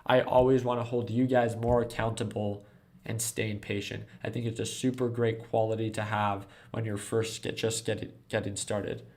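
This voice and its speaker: A man's voice: fairly low pitch, level tone, normal speed, a little mellow. A man in his twenties hosting a casual trading livestream, earnestly coaching viewers from a desk at home. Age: 20s. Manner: earnest coaching, reading chat aloud. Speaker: stock trading livestream host.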